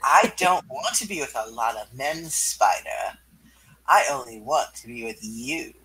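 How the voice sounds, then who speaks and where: A man's voice: medium pitch, expressive, measured pace, a loud crowd, close to an everyday voice, poor audio. Man playing a flirtatious, swooping female cat burglar. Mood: flirty, insistent. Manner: purring, teasing, flirty delivery. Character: flirtatious, seductive female cat burglar, thrill-seeking lover. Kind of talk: comic book live reading.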